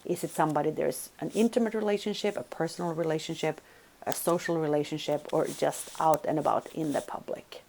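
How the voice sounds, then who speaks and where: A woman's voice: sits low, steady tone, fairly quick, gravelly, a little mellow, slight background noise. A woman from Sweden, giving a recorded college lecture and checking in with listeners by asking "right?". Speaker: business communication lecturer.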